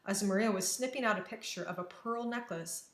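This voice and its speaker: A woman reading a children's story aloud with expressive, dramatic narration and careful phrasing, in an echoey room. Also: mid-range voice, fairly quick. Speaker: storybook reader.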